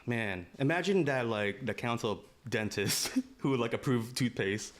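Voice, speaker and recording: A man's voice: medium pitch, moderate pace. A man co-hosting a podcast in casual chat, slangy, informal and given to banter. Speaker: podcast co-host.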